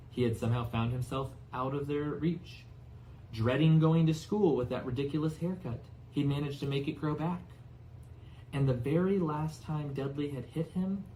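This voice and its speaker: A man narrating a storybook as an expressive audiobook reader. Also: on the high side, a little hiss or hum, poor audio.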